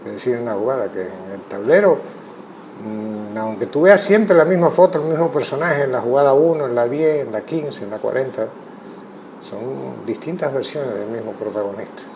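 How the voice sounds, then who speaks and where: A Cuban man, a chess coach, giving thoughtful interview answers in a measured way with frequent pauses. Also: mid-range voice, lively intonation, a little mellow. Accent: Cuban Spanish. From Cuba. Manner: measured, thoughtful, many hesitations. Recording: muffled audio with clear background noise.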